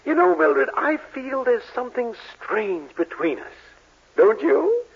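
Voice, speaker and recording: Husky, high, wildly swooping blustery boss. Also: male voice, big pitch swings, a little slow, heavily acted. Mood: lovesick, gushing. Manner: theatrical, fussy, gushing. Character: excitable, scheming, blustering middle-aged male boss. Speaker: actor in a radio sitcom. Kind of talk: 1940s radio comedy acting. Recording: muffled vintage 1940s radio recording.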